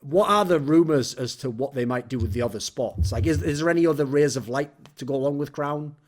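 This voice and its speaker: Man, rapid delivery, blunt opinionated rant, podcast guest commentator. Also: medium pitch. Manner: blunt, ranting, swears casually.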